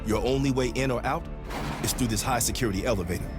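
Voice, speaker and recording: Actor as fast-talking field operative. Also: male voice, medium pitch, close to an everyday voice. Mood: brisk. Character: sceptical, plain-spoken male field operative. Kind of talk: dramatic game cutscene acting. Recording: loud music behind the voice.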